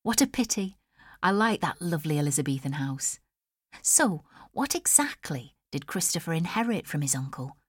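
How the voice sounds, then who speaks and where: A woman voicing a sweet-natured young woman, gracious, lively and curious, in an audiobook. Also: low voice, moderate pace, husky, no room sound, clean studio sound, exaggerated voice. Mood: curious, playful.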